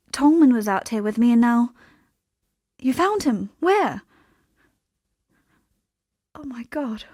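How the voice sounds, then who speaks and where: A dry, close recording of a young exile woman in a dramatic fantasy game. Her voice sits fairly high, and she speaks with guarded, earnest grief. The delivery is subdued and halting. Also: dark tone, no room sound. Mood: urgent, pleading, then stricken, grieving. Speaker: game non-player character. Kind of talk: dramatic video game voice acting.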